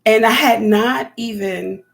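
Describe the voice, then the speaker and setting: Raspy chatty woman, gravelly voice. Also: fairly high pitch, slow, soft background music. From California. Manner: chatty, rambling, talks to viewers by name. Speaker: homesteading vlogger. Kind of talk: casual live-stream chat with viewers.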